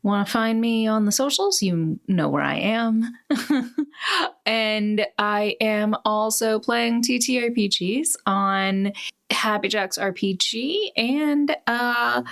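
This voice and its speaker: A woman's voice: a little high, takes it slowly, vocal fry, fairly bright tone. A woman chatting casually as a player in a tabletop roleplaying game show.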